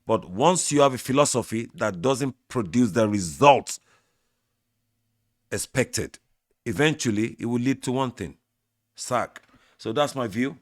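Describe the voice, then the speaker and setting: Nigerian man, Yoruba native, sports channel host, mid-pitched voice, dry close sound, measured opinionated talk. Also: animated, no echo. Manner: opinionated, measured, talks straight to fans. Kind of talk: football opinion talk to camera.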